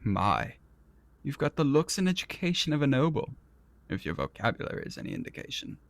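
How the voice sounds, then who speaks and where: An actor playing an arrogant, vain and petulant prince in a fantasy audio roleplay, speaking in a pompous, theatrical and condescending manner. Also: male voice, mid-range voice, very animated, a little fast, no echo. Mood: amused, condescending. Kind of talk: scripted ASMR roleplay audio drama.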